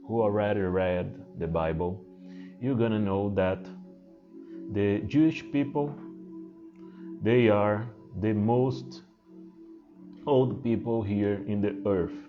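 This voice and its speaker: A man whose first language is Portuguese, speaking English with a Portuguese accent as a spiritual teacher, halting and hesitant with many fillers and restarts. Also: on the low side, dark tone. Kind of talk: unscripted spiritual talk to camera. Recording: muffled, with singing bowl music behind.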